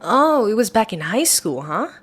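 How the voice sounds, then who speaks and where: A young woman acting out a playful, excitable best friend with a secret crush in an ASMR roleplay audio, speaking in a chatty, expressive way. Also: mid-range voice, normal speed, breathy edge. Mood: excited, playful.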